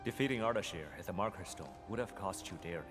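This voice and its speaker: An actor playing a stoic, terse military operative in a video game, speaking formally and bluntly. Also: male voice, low voice, quick pace, over music, close to an everyday voice. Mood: grave, calm.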